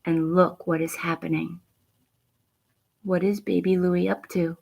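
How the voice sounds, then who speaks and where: A woman reading a picture book aloud to children, pausing with teacherly questions and emphatic asides. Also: fairly low pitch, level tone, vocal fry, a little mellow. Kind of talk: read-aloud bedtime story with asides and questions to young viewers.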